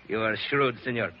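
An actor playing a suave, self-satisfied mountain bandit chief in a 1940s radio drama, husky, with a foreign accent and courtly, formal phrasing. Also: male voice, medium pitch, slight background noise, close to an everyday voice. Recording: muffled 1940s radio recording.

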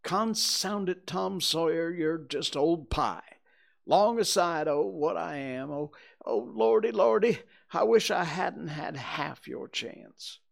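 A man's voice: fairly high pitch, expressive, slow, vocal fry, pitched above the performer's own voice, full character voice. A man voicing a frightened, superstitious ragged young boy who speaks in rustic folksy dialect and stammering pleas. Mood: wailing.

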